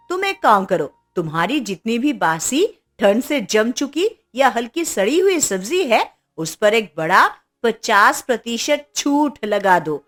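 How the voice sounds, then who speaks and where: A woman acts out a shrewd shopkeeper's wife from a cartoon, her voice raised a little high. She nags and schemes with a sly tongue. Faint music is behind her. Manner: scolding, sly. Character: sharp-tongued, crafty wife of a trader. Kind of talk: cartoon story acting.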